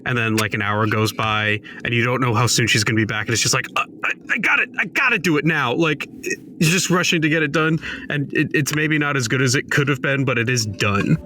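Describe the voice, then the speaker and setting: A man's voice: medium pitch, fairly quick, pushed and bright, a little hiss or hum. A man playing a tabletop game, chatting casually and thinking aloud. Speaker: player in a tabletop role-playing podcast.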